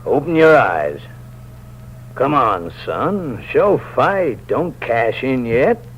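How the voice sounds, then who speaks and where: A man's voice: medium pitch, sing-song, takes it slowly. An actor playing a patient, fatherly county sheriff talking to a dying man. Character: steady middle-aged male county sheriff. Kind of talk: 1950s radio drama acting. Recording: dull old radio recording with faint hiss.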